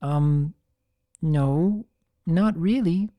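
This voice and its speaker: A performer voicing an earnest, concerned young female pony in a cartoon fan story, gently questioning a friend. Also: medium pitch, extremely slow, highs cut off, voice pushed up. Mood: sheepish.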